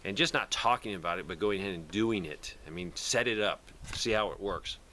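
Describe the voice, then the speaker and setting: Man, bright forceful voice, slightly low pitch, plainspoken casual rambling, faint background noise. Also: fairly quick. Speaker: prepper video host. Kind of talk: casual product talk to camera.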